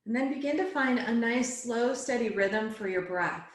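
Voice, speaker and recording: A woman yoga teacher guiding a relaxation and meditation class in a soothing, unhurried way, phrase by phrase. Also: on the high side. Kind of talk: guided relaxation and meditation instruction. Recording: echoey, roomy sound.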